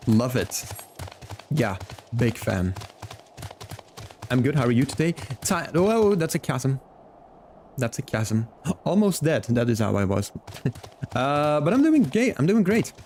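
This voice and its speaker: A man in his twenties streaming a video game, chatting casually and relaxed with viewers. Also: medium pitch, slight background noise. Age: 20s. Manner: chatty, relaxed, chats with viewers while playing.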